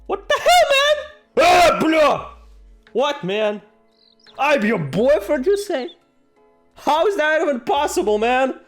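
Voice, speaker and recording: A man's voice: very high voice, big pitch swings, takes it slowly, long pauses. A man hosting a game playthrough, reading aloud and reacting in a jokey, theatrical, exclamatory way. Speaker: gaming video host. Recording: music behind the voice. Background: game music.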